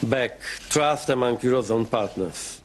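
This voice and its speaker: A man delivering a formal press statement in a deliberate way. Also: medium pitch, a little hiss or hum. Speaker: official giving a statement.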